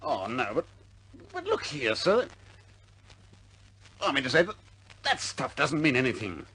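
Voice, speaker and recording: A man's voice: mid-range voice, huge pitch swings, measured pace, sharp tone, breathy edge, exaggerated voice, poor audio. An actor playing a plucky, stubborn young RAF bomber airman in a 1950s British war film, blunt and informal in manner. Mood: pleading protest.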